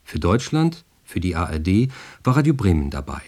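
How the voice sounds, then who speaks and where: Male radio host, native German, scripted studio announcements, soft dark timbre, highly melodic delivery. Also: big pitch swings, moderate pace.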